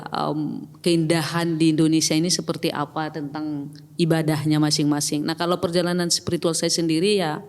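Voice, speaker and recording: A woman's voice: low-pitched, a little mellow. Middle-aged woman with a Batak accent. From Batak, North Sumatra. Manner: reflective, hesitant, with many fillers and restarts. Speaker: podcast guest. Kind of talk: personal testimony in casual chat. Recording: long reverb with faint music.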